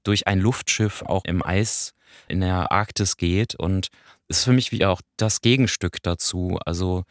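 A man's voice: sits low, measured pace, dry recording, clean studio sound. A man co-hosting a podcast, explaining and reviewing in a conversational way with small asides. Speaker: podcast co-host. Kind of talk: conversational radio play review.

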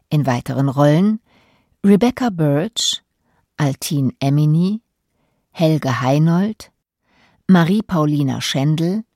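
Low, mellow, slow woman announcer. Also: no echo, studio quality. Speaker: credits announcer. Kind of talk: scripted radio credits reading.

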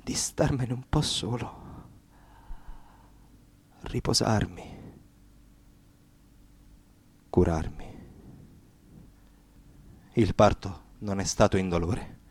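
An actor playing a weary, despairing political activist in a radio play, speaking haltingly and deliberately. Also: male voice, lowish voice, a little mellow, slightly rough, exaggerated voice. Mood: resigned. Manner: halting, deliberate, with repeated words. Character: weary, despairing young male political activist reading his confession. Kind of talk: radio theatre monologue.

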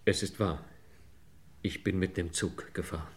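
An actor playing a meek, embarrassed older bookkeeper, defensive and hesitant, in a vintage German radio crime play. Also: male voice, low-pitched, fairly even intonation, moderate pace, lightly acted.

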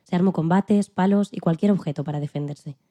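A woman playing a dignified elderly female civil rights activist who recalls her struggle in a calm, earnest, plain-spoken way, as scripted interview storytelling. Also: on the low side, a little fast, lightly acted. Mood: earnest, resolute. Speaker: podcast guest in a dramatized interview. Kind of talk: scripted first-person storytelling in interview form.

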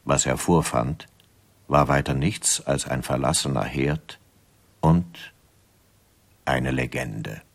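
An actor as the sombre storyteller of a 1970s mystery radio play, giving scripted narration. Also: male voice, a bass voice, slow, gravelly, pauses often, full character voice.

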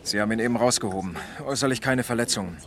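Actor as a matter-of-fact police lieutenant, medium pitch. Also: male voice, racing, natural voice. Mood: businesslike. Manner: factual, reporting. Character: brisk, matter-of-fact police lieutenant, dutiful junior detective. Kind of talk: 1970s German radio crime drama acting.

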